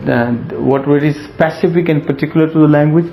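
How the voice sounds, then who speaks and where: A man giving a recorded lecture, explaining slowly, repeating points and pausing with fillers. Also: a little high, fairly even intonation, creaky, muffled recording, soft background music. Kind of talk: recorded lecture over slides.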